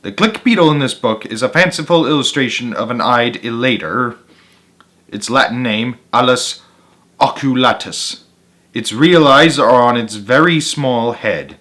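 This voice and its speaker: A man narrating as a storyteller, reading aloud for children in an animated style. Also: mid-range voice, a little slow. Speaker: storyteller, channel host.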